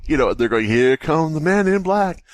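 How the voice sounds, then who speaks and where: A man's voice: a little high, lively intonation, normal speed, dry recording. Guy riffing on a podcast, sarcastic, swears a bit, really dry close sound. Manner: joking, sarcastic, profane. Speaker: podcast co-host. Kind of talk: casual comedic chat and mocking story read-aloud.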